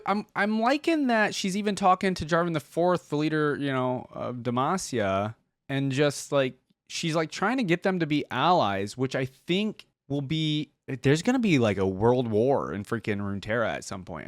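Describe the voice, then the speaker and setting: A man's voice: medium pitch, no echo, clean studio sound. A man hosting a reaction video, commenting casually and enthusiastically, musing aloud. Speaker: YouTube reaction host.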